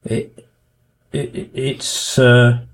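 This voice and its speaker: An older man with a Yorkshire accent, a diver and author, reminiscing in a halting, self-effacing way as a guest in a casual podcast interview. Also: mid-range voice, completely flat delivery. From Yorkshire, northern England.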